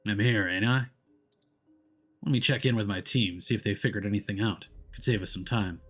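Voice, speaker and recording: A man voicing a gruff, world-weary, protective detective in a video game story, speaking in a dry, terse, understated way. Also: on the low side, fairly quick, lightly acted. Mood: dry, softened. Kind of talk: visual novel character voicing.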